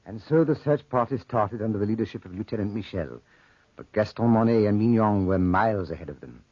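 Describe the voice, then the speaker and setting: A man narrating a 1940s radio drama in a measured, dramatic storytelling style. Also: lowish voice, animated, no room sound. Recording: muffled, dry 1940s radio recording.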